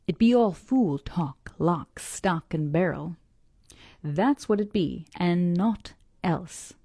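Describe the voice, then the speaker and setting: Lady doing a preachy old fisherman, slow and dragged out, mellow lowish voice. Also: lively intonation, slightly rough, dry recording, studio quality, exaggerated voice. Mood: scornful. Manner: slow, dragged-out dialect speech. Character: old male fisherman, gruff, scornful, preachy.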